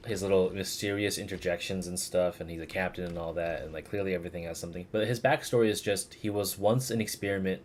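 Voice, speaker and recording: A man livestreaming a game, chatting casually in a rambling, halting way as he searches for words. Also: low-pitched, steady tone, slight background noise. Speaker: game streamer. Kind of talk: casual livestream commentary, replying to chat.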